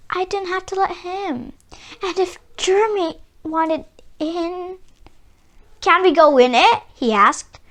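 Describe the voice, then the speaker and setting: A woman reading a children's storybook aloud in an expressive, animated way, with dramatic pauses and emphasis. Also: extremely high pitch. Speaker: children's book read-aloud narrator. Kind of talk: expressive scripted storybook narration for kids.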